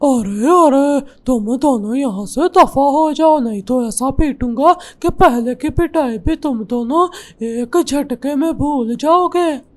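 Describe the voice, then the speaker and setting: Scornful bullying cartoon crow, high-voiced woman acting. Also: takes it slowly. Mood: scornful, threatening. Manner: scornful, threatening. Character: bullying crow squatter, rude and threatening.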